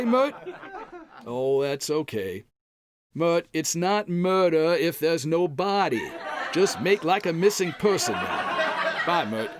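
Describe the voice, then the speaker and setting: A man's voice: fairly high pitch, background noise. An American man playing a bumbling, cheerful packrat husband in old-time radio comedy style, folksy and hemming as he makes excuses.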